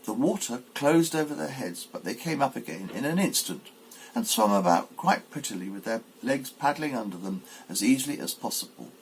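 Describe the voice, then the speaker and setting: A man's voice: medium pitch, animated, normal speed, slight background noise, poor audio. A man narrating a children's fairy tale expressively as a volunteer reader.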